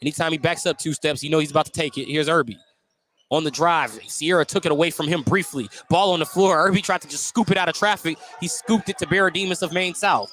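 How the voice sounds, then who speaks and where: A man's voice: on the high side, fast talker, a bit bright, slight background noise. A man doing live radio play-by-play of a basketball game, calling each play in clipped, detailed bursts. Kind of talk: live radio sports commentary. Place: indoor high school gymnasium.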